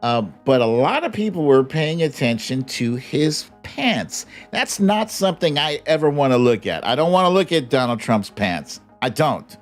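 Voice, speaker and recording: A man's voice: fairly high pitch, big pitch swings, moderate pace, few pauses, faint music behind. An American man hosting a livestream with casual, humorous political commentary in a mocking, conversational way.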